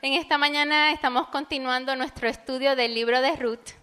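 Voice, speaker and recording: A woman interpreting a sermon phrase by phrase, quick and fluid, in a church. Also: high-pitched, fairly even intonation, sharp tone, clean tone, in a large hall. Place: church sanctuary.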